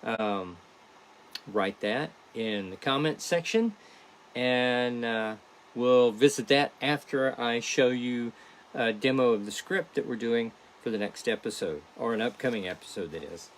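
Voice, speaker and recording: A man hosting a casual behind-the-scenes stream as a podcast creator, chatting to viewers in a halting, rambling way. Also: medium pitch, slight background noise. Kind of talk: casual livestream chat to viewers.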